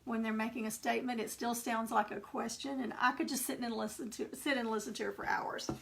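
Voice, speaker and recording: Chatty Texan woman with a gravelly voice. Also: fairly high pitch, steady tone, a little fast. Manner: chatty, rambling, homey asides while painting. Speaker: craft painting instructor. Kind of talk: casual live painting tutorial. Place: home craft workspace.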